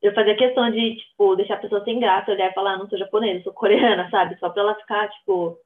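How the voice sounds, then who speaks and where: A woman's voice: medium pitch, fairly even intonation, fast talker. A woman with a Brazilian accent speaking as a podcast guest in informal, conversational talk full of slang and tag questions, over a thin call-quality line. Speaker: podcast guest, social media creator. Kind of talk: casual conversational interview. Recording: thin phone-like remote line.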